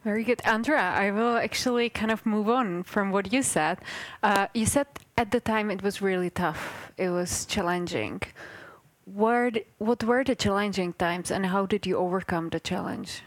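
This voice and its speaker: A woman's voice: medium pitch, normal speed, dry recording. Female panel moderator with a dry sound. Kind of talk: moderating a panel, conversational questions.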